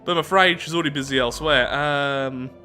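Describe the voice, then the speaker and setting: A man's voice: on the high side, a little fast, bright, forceful tone, clean tone. A man hosting a game playthrough, reading event text aloud and adding casual asides as he reacts to what comes up. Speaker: gaming video host. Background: music.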